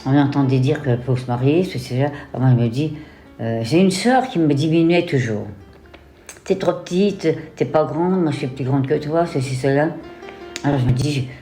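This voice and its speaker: A woman's voice: low-pitched, normal speed, mellow. An older woman, a retirement home resident, rambling animatedly about her life and often adding "hein". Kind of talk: recalling memories in an interview. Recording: roomy with music underneath.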